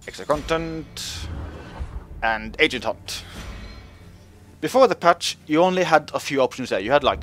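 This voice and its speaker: Male host, relaxed game-patch commentary, filler-heavy, playful pitch jumps, light music underneath. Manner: chatty, many fillers, pitch jumps up when joking. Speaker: gaming video host.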